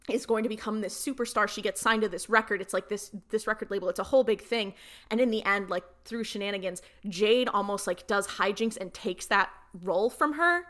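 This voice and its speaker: Young woman chatting through fan theories. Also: medium pitch. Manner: animated storytelling, frequent quoting and slangy asides. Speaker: podcast co-host. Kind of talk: casual fan chat.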